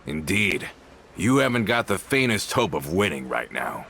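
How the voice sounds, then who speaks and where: An actor playing a loyal, composed male henchman with a gravelly voice in a video game. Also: deep voice, sing-song, moderate pace, pushed and bright, a little hiss or hum, full character voice. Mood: firm. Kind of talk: video game character acting.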